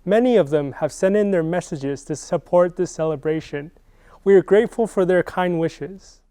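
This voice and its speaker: Smooth, high-voiced male host. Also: normal speed, warm tone, no echo. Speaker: event host. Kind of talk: scripted presenting.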